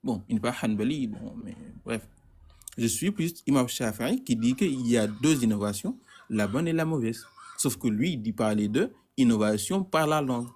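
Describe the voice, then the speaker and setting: A man's voice: mid-range voice, animated, moderate pace, a little mellow. A man caller in a heated debate, stammering and repeating words as he insists on his point.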